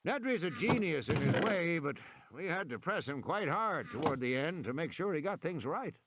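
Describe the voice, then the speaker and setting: A man's voice: fairly high pitch, big pitch swings, moderate pace, very noisy, pitched above the performer's own voice. A man voicing a genial, stubborn, sentimental man in his seventies for an audiobook, insistent and lingering on his words. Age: 70s. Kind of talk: audiobook character acting.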